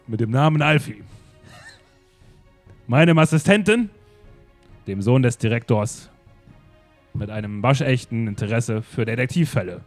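A man's voice: mid-range voice, animated, a little slow, pauses often, soft background music, natural voice. A man playing a pompous detective in an improvised radio play, declaiming with theatrical emphasis. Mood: grandiose. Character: pompous theatrical male detective, grand speeches. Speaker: improv performer.

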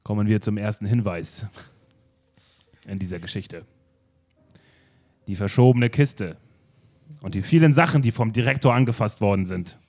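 A man's voice: fairly low pitch, normal speed, pauses often, a little mellow, lightly acted. A man playing a pompous detective in an improvised radio play, declaiming with theatrical emphasis. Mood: grandiose. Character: pompous theatrical male detective, grand speeches. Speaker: improv performer.

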